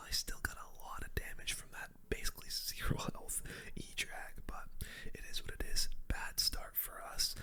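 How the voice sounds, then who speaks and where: A person whispering ASMR game commentary as host, in a breathy, unvoiced voice with casual gamer slang. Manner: casual gamer slang, addressing viewers as boys and guys. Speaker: gaming video host.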